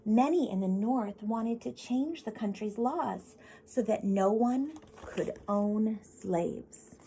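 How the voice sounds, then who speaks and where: A woman's voice: on the high side, slow, mellow, a little hiss or hum, poor audio. An American woman reads a picture book aloud as a teacher, explaining simply and putting questions directly to children. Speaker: teacher reading to pupils.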